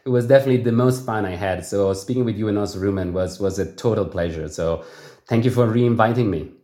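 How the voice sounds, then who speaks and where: A man's voice: on the low side, fairly quick, mellow, roomy sound. A man, a returning academic guest on a podcast, chatting cheerfully and gratefully. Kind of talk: podcast interview.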